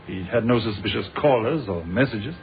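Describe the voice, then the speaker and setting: Measured British police inspector, phone-like sound. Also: male voice, on the low side, animated. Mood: reflective, recounting. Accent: British English. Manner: measured, polite, dryly unflappable. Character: patient, courteous male British police inspector. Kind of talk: old-fashioned British radio drama acting. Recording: narrow, phone-like old radio recording.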